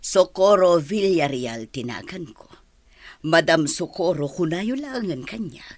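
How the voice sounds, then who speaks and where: A woman's voice: on the low side, expressive, a little slow, darkish tone, no room sound. A woman with a Filipino accent acting a kind, patient special education teacher, polite and instructive, in a radio drama. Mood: welcoming, instructive.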